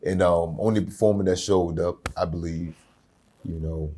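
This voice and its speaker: Man, very deep voice, husky and raspy, energetic talker, casual interview. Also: moderate pace. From Virginia. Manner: aggressive, energetic delivery. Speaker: rapper guest.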